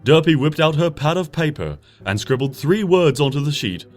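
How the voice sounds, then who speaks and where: A man narrating a story aloud, expressive, rising brightly and then dropping to a hush. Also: on the high side, moderate pace. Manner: expressive, swings between bright and hushed. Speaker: fanfiction reader. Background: soft guitar music.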